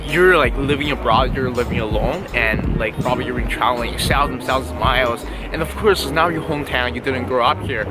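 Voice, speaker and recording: A young man from China with a Chinese accent, a Mandarin native speaker, giving upbeat, emphatic advice in a casual vlog, repeating words for stress. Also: mid-range voice. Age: young adult. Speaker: vlogger giving tips to students. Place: outdoors on a city street.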